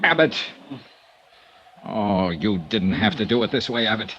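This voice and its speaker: A man's voice: fairly low pitch, animated, unhurried, fairly bright tone, dull audio, slight background noise. Brief: a firm, hard-boiled investigator narrating his own case, vintage radio sound. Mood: urgent. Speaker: insurance investigator lead and narrator. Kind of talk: 1950s radio drama acting and narration.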